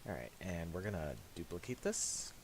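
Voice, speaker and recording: A man giving a casual, unscripted software tutorial, halting as he thinks aloud while he works. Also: sits low, sing-song. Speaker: tutorial host. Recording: hissy home recording with mouse clicks.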